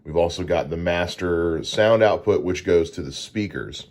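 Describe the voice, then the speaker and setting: A deep, low-pitched man speaks into a dynamic broadcast mic in his home studio. He explains things in an easygoing way and often pauses on "uh" as he goes, like a podcast host showing off his setup. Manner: relaxed and explanatory, with frequent "uh" fillers. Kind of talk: casual gear unboxing walkthrough.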